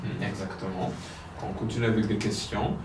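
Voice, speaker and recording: A man's voice: on the low side, flat delivery, moderate pace. Patient man teaching French. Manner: patient, prompting, corrects and confirms. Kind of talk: language lesson drill. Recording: roomy, distant mic, faint noise.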